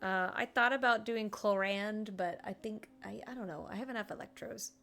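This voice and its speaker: A woman giving casual live gameplay commentary as a streamer, excitable, with teasing asides. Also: medium pitch, normal speed, creaky, soft background music. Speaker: game streamer.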